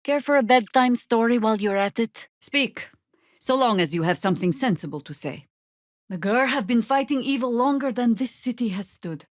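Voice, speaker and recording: An actress playing a seasoned, sardonic druid warrior woman in a fantasy video game, with a dry, measured, commanding delivery. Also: a little high, animated, no room sound, close to an everyday voice. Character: seasoned, sardonic female druid warrior, world-weary but resolute. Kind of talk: scripted fantasy game dialogue with shouted spell incantations.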